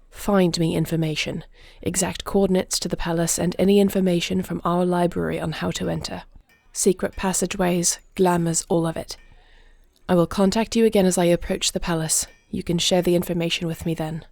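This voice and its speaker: A low, faintly gravelly queen of a fantasy audio drama, acted by a woman, speaks with stately, formal diction. She is regal and resolute, with anguish under her commands, and the sound is close and dry. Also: steady tone, a little fast, a little mellow, dry recording. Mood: commanding, vengeful. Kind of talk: fantasy audio roleplay acting.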